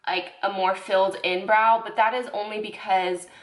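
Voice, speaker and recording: A woman's voice: medium pitch, fairly even intonation, moderate pace. A young woman casually reviewing beauty products, chatty and self-correcting, with lots of "like"s. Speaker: beauty vlogger. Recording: echoey room.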